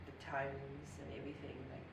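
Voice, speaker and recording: A woman's voice: rumbling low, monotone, fairly quick. Giggly young woman saying "like" a lot. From Zambia. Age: young adult. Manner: chatty, says like constantly, giggly and self-mocking. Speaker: guest, professional swimmer. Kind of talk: casual interview chat. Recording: echoey room, mic at a distance, clear background noise.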